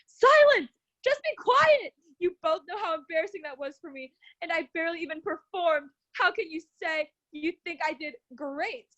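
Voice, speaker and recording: A woman's voice: extremely high pitch, lively intonation, normal speed, a bit bright, muffled recording. A woman reading a scripted story aloud in an earnest, dramatic way. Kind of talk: scripted narration read aloud.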